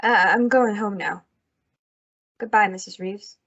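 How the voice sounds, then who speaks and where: A woman's voice: mid-range voice, unhurried, gravelly, fairly bright tone. A woman playing a bitter, hard frontier widow in an old-time radio western, curt and rustic in manner. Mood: subdued, halting. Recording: dull lo-fi radio drama recording.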